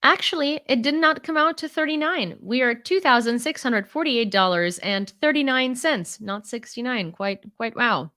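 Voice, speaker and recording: A woman's voice: fairly high pitch, animated, normal speed, hardly any pauses, pushed and bright, dry recording, clean studio sound. A young woman from Ukraine with an American accent, hosting a live stream, chatty with many fillers and frequent laughs, reading out news.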